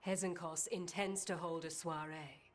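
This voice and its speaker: A woman's voice: fairly low pitch, fairly even intonation, moderate pace, soft background music, lightly acted. A woman voicing a composed, scholarly necromancer in a fantasy video game, speaking formally as she explains. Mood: composed, serious. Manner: formal, expository. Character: composed, scholarly female necromancer briefing allies.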